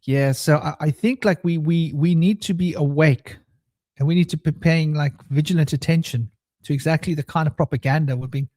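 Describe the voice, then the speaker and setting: A man's voice: on the high side, normal speed, darkish tone, no room sound, clean studio sound. A man in his thirties chatting earnestly on a video call, often repeating words while searching for his point. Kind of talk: casual online chat.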